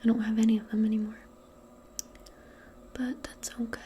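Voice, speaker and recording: A woman role-playing in soft-spoken ASMR, speaking gently and soothingly in a breathy, mostly whispered voice. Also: on the high side, level tone, noisy background. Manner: gentle, soothing, unhurried, conversational. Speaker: ASMR performer role-playing a modern witch.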